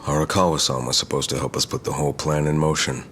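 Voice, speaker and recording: Imposing senior yakuza: extremely low voice, laconic and composed, video game. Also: male voice, flat delivery, fast talker, a bit bright, slight background noise. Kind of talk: English video game voice acting.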